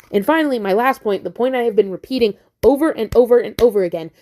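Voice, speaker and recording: A woman's voice: a little high, dark tone. A woman delivering a prepared, persuasive argument in an emphatic, impassioned way with blunt swearing. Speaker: listener sending in a voice message.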